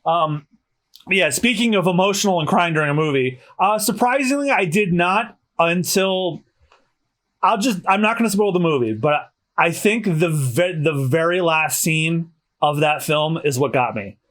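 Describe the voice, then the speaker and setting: A man hosting a casual podcast, chatty and slightly hoarse, often restarting his sentences. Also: on the high side, animated, moderate pace, fairly bright tone. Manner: chatty, self-correcting restarts. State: slightly hoarse after dental work. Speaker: podcast host.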